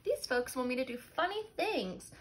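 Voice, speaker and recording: Casting: cheerful, eager-to-please housekeeper played by a woman reading aloud, high and lively, earnest and naive, for a children's storybook. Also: normal speed. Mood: pleased, musing. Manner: earnest, innocent. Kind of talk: children's picture-book read-aloud.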